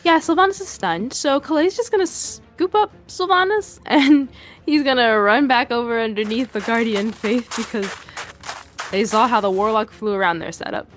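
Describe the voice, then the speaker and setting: A woman's voice: on the high side, normal speed, soft background music. A woman playing a tabletop game, describing her character's moves and laughing often. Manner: lively, laughing, swears freely. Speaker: player.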